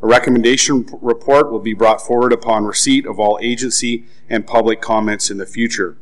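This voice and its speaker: A man's voice: medium pitch, fairly even intonation, fairly quick, low-quality recording. A man chairing a public committee meeting, making formal procedural announcements at a desk microphone in a council chamber.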